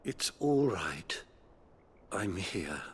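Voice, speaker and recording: Kindly priest, solemn, soft-spoken, slow and measured, game cutscene. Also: male voice, deep voice, big pitch swings, breathy edge, exaggerated voice. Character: gentle older male abbey priest, solemn and kindly. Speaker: priest tending the dying. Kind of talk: video game cutscene acting.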